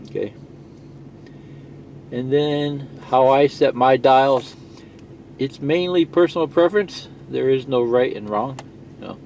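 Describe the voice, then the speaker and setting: A man talks through a piece of equipment in a casual, unscripted way, at an ordinary middle pitch. His manner is folksy and reassuring, with frequent little check-ins. The recording is clearly noisy, with static hiss behind him. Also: measured pace, long pauses, a little mellow. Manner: folksy, reassuring, often checks in with "okay" and "you know". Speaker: presenter of a CB radio how-to video. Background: CB radio static hiss.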